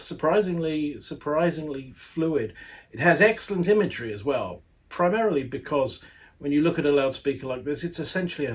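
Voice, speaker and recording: A man, an audio magazine editor, giving a casual spoken product review in a chatty, rambling way with playful asides. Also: fairly high pitch.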